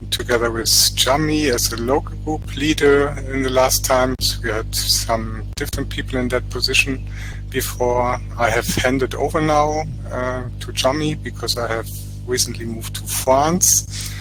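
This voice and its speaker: A man introducing himself on an online panel, slow and thoughtful with pauses. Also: medium pitch, fairly even intonation, music in the background, poor audio. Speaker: country coordinator. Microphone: video call.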